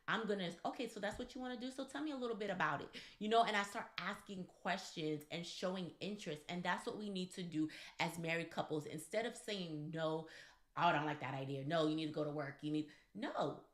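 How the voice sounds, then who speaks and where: A woman's voice: lowish voice, a bit bright. A woman co-hosting a relationship advice show, talking conversationally and emphatically, straight to the listeners. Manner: emphatic, addresses listeners directly. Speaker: podcast co-host. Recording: echoey, roomy sound.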